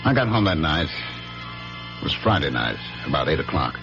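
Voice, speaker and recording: An actor playing a brooding, jealous husband, narrating his memories in a measured, dramatic radio drama style. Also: extremely low pitch, sing-song, exaggerated voice. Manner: measured, dramatic, ruminative delivery. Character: brooding jealous husband, a weary businessman recalling his past. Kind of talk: radio drama first-person narration and acting. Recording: dull vintage radio recording, music behind. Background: dramatic music.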